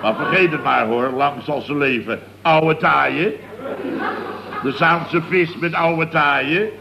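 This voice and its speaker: Exasperated, blustering middle-aged building boss for radio comedy; fairly high voice, indignant rambling, old noisy sound. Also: male voice, slow pace, gravelly, lo-fi sound. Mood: rambling, aggrieved. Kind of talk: radio comedy serial acting.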